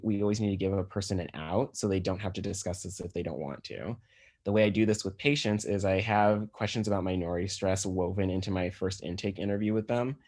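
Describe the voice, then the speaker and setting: A man with an American accent and a low voice presents as a physician. He explains his material in a conversational lecture style, as one would over slides. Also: a little mellow.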